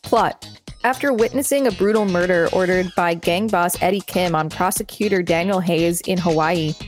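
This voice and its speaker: A woman co-hosting a podcast, reading encyclopedia text aloud with casual comments. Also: lowish voice. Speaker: podcast co-host.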